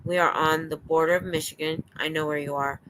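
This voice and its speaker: An older American woman hosting a livestream, chatting in a rambling, excitable way. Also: low voice, brisk, a little hiss or hum, low-quality recording. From United States. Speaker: livestream host. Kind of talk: casual chat. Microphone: streaming setup.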